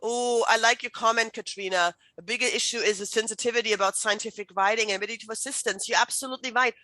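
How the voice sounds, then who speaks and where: A woman's voice: fairly high pitch, steady tone, fast talker, sharp tone, no room sound, low-quality recording. A middle-aged woman from Germany, German native, giving a chatty online writing workshop with many fillers and restarts. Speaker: writing instructor. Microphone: video call.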